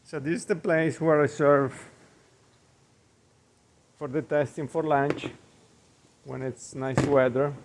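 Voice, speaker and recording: Italian-accented chef with a gravelly voice. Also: male voice, on the high side, fairly even intonation, takes it slowly, long pauses, background noise. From Italy. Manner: rambling, frequent ums and uhs, hesitant English. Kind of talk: casual cooking vlog walk-through. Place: outdoors by the Gulf of Mexico in Florida.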